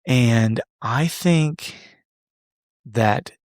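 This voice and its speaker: A man's voice: mid-range voice, very slow, dry recording, studio quality. A man in his thirties hosting a solo podcast, chatting casually and joking back to live comments. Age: 30s. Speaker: podcast host.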